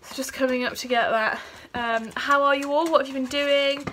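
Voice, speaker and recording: A woman's voice: high-pitched, steady tone, moderate pace, a bit bright. A British woman in her twenties chatting casually to her phone camera, congested and a bit out of breath from a cold. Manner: chatty, lots of ums. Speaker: vlogger. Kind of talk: casual vlog chat. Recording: phone recording with light background noise.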